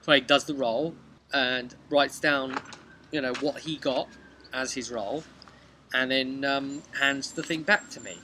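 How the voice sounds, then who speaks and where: A young man with a British accent casually recounts a tabletop game session as game master, conversational, with emphatic pauses and tag questions. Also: mid-range voice, steady tone, pushed and bright. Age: young adult. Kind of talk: casual vlog storytelling. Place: outdoors on a bench beside a brick house. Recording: noisy outdoor recording with traffic ambience.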